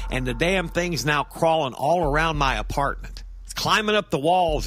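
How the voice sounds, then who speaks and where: A man's voice: mid-range voice, a bit bright. Casting: male actor as indignant, rattled granddad for comic horror audio drama; chatty, stammering storyteller over music. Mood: exasperated. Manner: conversational, stammering, seeking agreement with tag questions. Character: rattled, indignant grandfather phoning in about a monster cat. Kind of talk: first-person horror-comedy monologue for audio drama.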